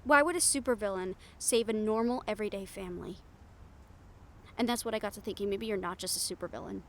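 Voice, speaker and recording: A woman's voice: medium pitch, quick pace, slight background noise, close to an everyday voice. A woman playing a bold, sarcastic, foul-mouthed reporter in an improvised audio roleplay, speaking in a candid, conversational way. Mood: earnest, persuasive. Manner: conversational, exasperated, candid, with sighs and swearing.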